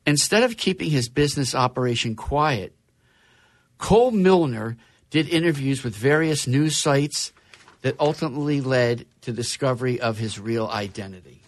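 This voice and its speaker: A man's voice: mid-range voice, moderate pace, no room sound. Chatty man with a dry studio sound. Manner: chatty, reads news stories aloud with wry asides. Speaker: podcast host. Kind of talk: casual chat.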